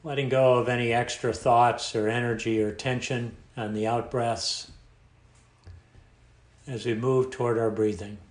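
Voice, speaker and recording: A man leading a guided meditation in a calm, unhurried manner, leaving long pauses between phrases. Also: mid-range voice. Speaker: meditation teacher. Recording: echoey room sound.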